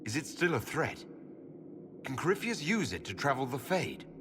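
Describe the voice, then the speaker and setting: A man plays a resolute elven leader in a fantasy game. His mid-pitched voice is lively in intonation, earnest and firm as he questions and cautions. There is noticeable ambient noise behind him. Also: moderate pace, close to an everyday voice. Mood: cautious. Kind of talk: dramatic fantasy game dialogue.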